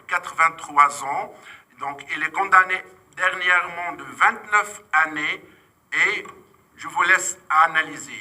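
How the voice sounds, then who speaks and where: Bright-voiced man with an Arabic-speaking background. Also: on the high side. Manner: halting, many fillers and restarts. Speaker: NGO vice president giving closing remarks. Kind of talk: conference speech. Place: UN committee room.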